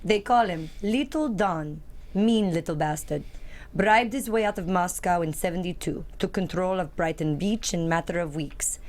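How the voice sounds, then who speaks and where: A woman's voice: on the low side, normal speed, close to an everyday voice. A woman playing an older male Russian mobster, worldly, cynical and blunt, reading in a screenplay table read. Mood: knowing.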